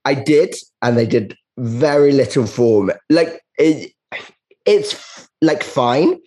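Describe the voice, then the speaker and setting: A man's voice: medium pitch, slow, darkish tone. A man, a comedian being interviewed, telling casual anecdotes in a candid, self-deprecating way. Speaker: stand-up comedian, interview guest.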